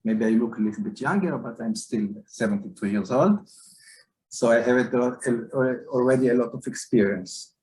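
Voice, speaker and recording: A man in his seventies with an Israeli accent, speaking as a guest psychologist over a video call, reflective, with pauses and fillers. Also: mid-range voice, darkish tone, a little hiss or hum, rough recording. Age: 70s. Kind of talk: virtual talk.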